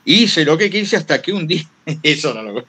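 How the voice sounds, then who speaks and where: A man's voice: a little high, big pitch swings, normal speed, bright, forceful tone, slightly rough. An Argentine man giving an informal teaching talk as a veteran broadcaster, rambling through anecdotes and repeating phrases for emphasis. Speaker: workshop guest speaker, veteran radio broadcaster.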